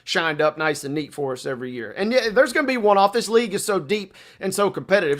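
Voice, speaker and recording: A man's voice: fairly high pitch, a bit bright. A man co-hosting a sports radio show in casual, colloquial and playful commentary.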